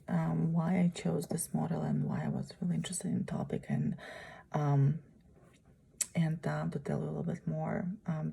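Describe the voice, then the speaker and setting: Husky low-voiced woman. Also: mellow. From Uzbekistan. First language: Russian. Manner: halting, searching for words, frequent pauses. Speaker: student presenting a course project. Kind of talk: unscripted spoken presentation.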